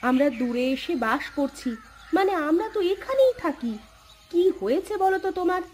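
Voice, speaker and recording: A woman's voice: very high-pitched, very animated, moderate pace, darkish tone, slight background noise. A woman voicing a caring, puzzled village wife in a Bengali cartoon, with a plaintive, questioning manner. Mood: bewildered.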